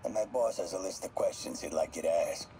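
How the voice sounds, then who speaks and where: An actor playing a coldly pushy male thug in a video game, speaking in flat, threatening tones. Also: low-pitched, brisk, noisy background, lightly acted. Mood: menacing.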